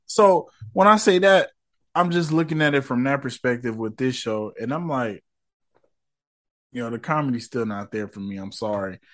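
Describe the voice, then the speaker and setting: A man's voice: medium pitch, expressive, moderate pace, a bit bright. Slangy man hosting a casual podcast. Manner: loose, slangy and profane, rambling asides. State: voice rough after smoking. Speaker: podcast host.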